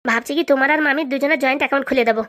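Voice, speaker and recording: Woman doing a chatty young cartoon nephew, helpful go-getter, really high voice, talks super fast. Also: steady tone, a bit bright. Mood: eager. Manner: chatty, colloquial. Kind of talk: comic cartoon dialogue.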